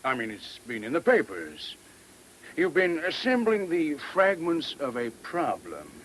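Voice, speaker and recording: A man playing a self-assured, cryptic retired army major in a radio drama, blunt and knowing with small hesitant pauses. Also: fairly high pitch, huge pitch swings. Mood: blunt, insistent. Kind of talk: mid-century radio drama acting. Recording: old radio recording with faint hiss.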